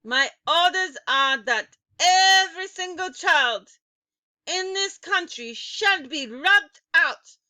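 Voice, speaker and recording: A woman voicing a furious, commanding witch tyrant in a storybook reading, with an imperious, drawn-out, shrieking delivery. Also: extremely high pitch, expressive, bright, forceful tone, voice pushed up, heavily acted. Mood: commanding fury. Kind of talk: dramatic storybook character reading.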